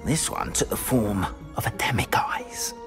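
An actor playing a nervous, fussy middle-aged castle caretaker from Korea, apologetic and theatrical, in a video game. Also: male voice, fairly low pitch, big pitch swings, a little slow, vocal fry, in a whisper, full character voice. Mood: explaining, uneasy. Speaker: school caretaker. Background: game music.

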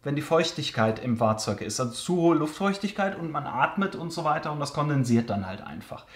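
Casual male video host, fairly high-pitched, rambling Q&A delivery, in a reverberant cellar. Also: lively intonation, brisk. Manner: chatty, hesitant fillers, joking asides. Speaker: car care channel host. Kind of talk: casual Q&A answering viewer questions. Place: basement storage room.

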